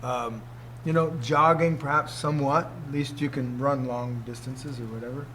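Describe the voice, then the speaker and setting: A man's voice: mid-range voice, fairly even intonation, normal speed, pushed and bright, slight background noise. A man in his sixties, a martial arts teacher, giving an informal talk to students, emphatic and fond of rhetorical questions. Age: 60s.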